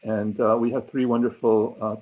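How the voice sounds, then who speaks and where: Husky low-voiced man in his sixties. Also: level tone, moderate pace, darkish tone, rough recording. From Argentina. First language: Spanish. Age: 60s. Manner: thoughtful, with frequent ums and uhs. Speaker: guest, consultant. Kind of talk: conversational interview answers. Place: home office.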